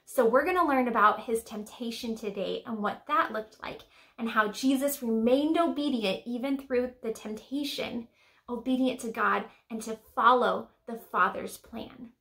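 A woman's voice: fairly high pitch, normal speed, a bit bright. A young woman hosting a children's church lesson to camera, chatting simply and putting questions to the children. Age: young adult. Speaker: children's church teacher and host. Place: small decorated room indoors.

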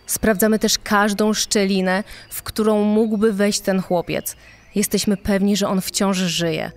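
A confident female deputy sheriff, acted by a woman gives an official report in a true-crime podcast. Her voice sits at a middle pitch. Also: steady tone, unhurried, natural voice. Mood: determined, official.

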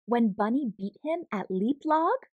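A woman narrates a children's picture book with a lively, swooping delivery. She takes her time, pausing between phrases. Her voice sits a little high and is slightly husky. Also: no echo, narrow, tinny audio. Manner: expressive, deliberate, with pauses between phrases. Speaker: storybook reader. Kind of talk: scripted read-aloud of a children's picture book.

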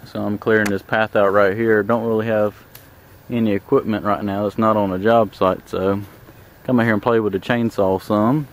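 A man giving a relaxed, plain-spoken tour of his land, walking and then driving. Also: lowish voice, normal speed. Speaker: landowner showing his farm. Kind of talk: casual walk-and-drive tour narration. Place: outdoors in fields, then inside a moving pickup truck. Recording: clear background noise of truck engine and outdoors.

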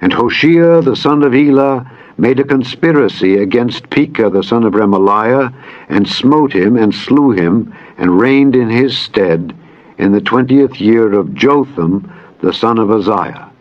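A man reading scripture as a dramatized audiobook narration, solemn and measured, with deliberate phrasing. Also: medium pitch, animated. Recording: dull band-limited audio with music behind. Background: background music.

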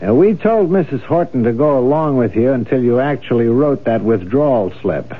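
A matter-of-fact investigator played by a male actor in a seventies radio play. His voice sits at a middle pitch and comes through a narrow, tinny old broadcast recording. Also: animated, moderate pace, natural voice. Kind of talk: 1970s radio drama acting.

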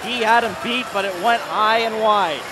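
A man calling play-by-play for a hockey shootout, rising to shouted goal calls while his voice is going. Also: high-pitched, normal speed. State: losing his voice. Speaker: play-by-play commentator. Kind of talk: excited sports commentary. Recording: crowd and arena noise behind.

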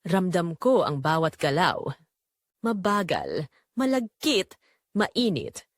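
Low, expressive female story narrator. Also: takes it slowly, no room sound, studio quality. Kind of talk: scripted first-person dramatic story narration.

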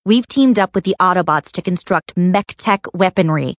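A woman's voice: on the low side, moderate pace, dry recording, clean studio sound, natural voice. A woman playing a keen military researcher, recording a journal entry for a video game. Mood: impressed. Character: impressed female military weapons researcher.

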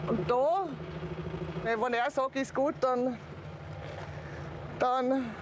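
Hesitant woman, high voice. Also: very slow, background noise. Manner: hesitant, thinking aloud. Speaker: truck driver. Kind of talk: casual talk. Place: outdoors at a site and in a truck cab. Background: music.

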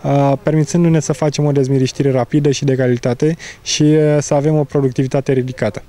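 Man, 30s, product specialist interviewed outdoors. Soft, dark tone, technical delivery with halting pauses. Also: medium pitch, little pitch movement. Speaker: agricultural machinery product specialist. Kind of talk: explaining equipment in an interview. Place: outdoors in an open field. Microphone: handheld interview microphone.

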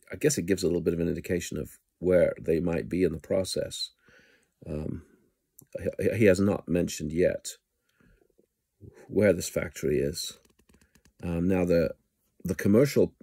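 Middle-aged man, very deep with a gravelly edge, halting um-filled commentary into a close phone. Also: warm tone, no room sound. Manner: halting, frequent ums and restarts. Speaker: news blogger. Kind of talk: casual video commentary. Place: indoors at home, small room. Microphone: phone camera held close.

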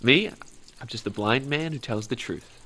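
An actor playing an eerie blind hermit in a radio drama, mocking, cryptic and theatrical. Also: male voice, mid-range voice, moderate pace, bright, forceful tone. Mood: sly, menacing. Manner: cryptic, theatrical, taunting, given to chuckling. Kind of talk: post-apocalyptic radio drama acting.

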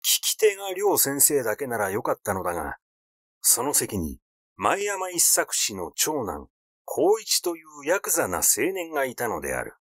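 A man's voice: medium pitch, wildly swooping pitch, normal speed, fairly bright tone, dry recording, studio quality. A man narrating a classic literary story as an audiobook reader.

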